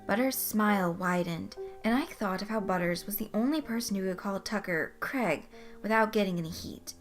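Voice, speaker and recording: A woman's voice: medium pitch, moderate pace, faint music behind. A woman reading scripted fan fiction as its narrator.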